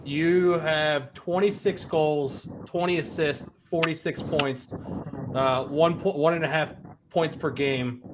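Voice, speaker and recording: A man's voice: on the high side, steady tone, slow, noisy background. Rambling host in his thirties. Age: 30s. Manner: rambling, many restarts, reads out stats. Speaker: podcast host. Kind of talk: casual interview chat.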